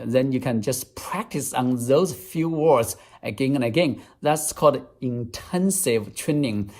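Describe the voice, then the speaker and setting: Chinese-accented man, mid-pitched, earnest English tutor, repeats himself as he explains steps. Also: a little mellow. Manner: earnest, step-by-step, repeats phrases. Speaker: English teacher. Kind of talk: app demonstration tutorial.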